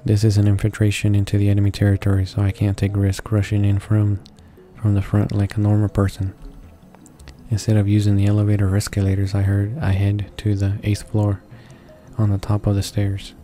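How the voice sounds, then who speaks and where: A man hosting a game read-along, narrating the text aloud in a halting way and often correcting his own stumbles. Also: fairly low pitch, completely flat delivery, dark tone, soft background music. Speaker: video game walkthrough host reading aloud. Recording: noisy home recording with constant background hiss.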